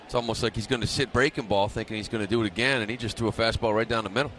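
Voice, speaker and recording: A man's voice: fairly low pitch, steady tone, rapid-fire, a bit bright. Casual male baseball color commentator, faint noise. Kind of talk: conversational baseball analysis. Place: ballpark broadcast booth.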